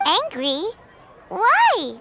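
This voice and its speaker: A woman voicing a small, perky, cheeky childlike fairy companion in a video game, squeaky and bluntly questioning. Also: wildly swooping pitch, extremely slow, heavy background noise, full character voice. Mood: puzzled.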